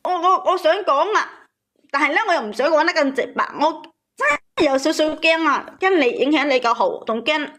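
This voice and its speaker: Female caller, Cantonese accent, very high voice, emotional first-person storytelling with emphatic repetition. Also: brisk, a bit bright. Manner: agitated, emphatic, repeats phrases. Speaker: caller telling her own story.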